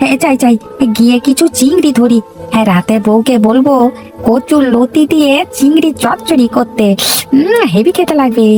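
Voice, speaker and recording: A woman's voice: high-pitched, moderate pace, dark tone, soft background music, close to an everyday voice. A woman voicing a chatty, food-loving young village husband in a cartoon, full of exclamations. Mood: gleeful. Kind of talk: cartoon voice acting.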